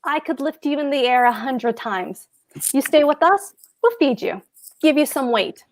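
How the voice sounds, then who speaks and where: Woman playing a high-pitched, blustering, bossy husband. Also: animated, moderate pace. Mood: boastful, bossy. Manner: pompous, emphatic. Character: blustering, bossy, boastful German husband and landlord. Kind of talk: play table read.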